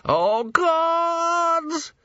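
An overweight middle-aged sergeant in a high, strained panic, as a male reader puts him on, his words slow and squeaking upward. The recording is dry, close and slightly muffled. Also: expressive, bright, forceful tone, no echo, heavily acted. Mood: panicked.

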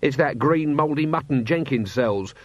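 A man playing a gruff, cynical city watch commander who talks in a blunt, grumbling way. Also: medium pitch, expressive, normal speed, no echo, highs cut off, voice pushed up, lightly acted. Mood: indignant.

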